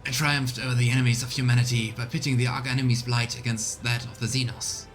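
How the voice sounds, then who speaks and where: A man's voice: level tone, fast talker, fairly bright tone, soft background music, close to an everyday voice. Man playing a proud, formal lord captain. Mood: proud, composed. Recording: low rumbling background noise.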